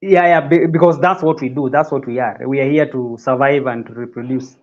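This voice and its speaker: A man's voice: medium pitch, fairly even intonation, a little fast, muffled recording. A man from Kenya, a guest on a fitness livestream, talking casually and often repeating words as he explains.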